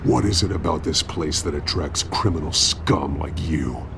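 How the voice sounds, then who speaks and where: An actor playing a stern, brooding masked vigilante in a video game, terse and commanding. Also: male voice, rumbling low, normal speed, creaky, background noise. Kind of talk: video game character acting.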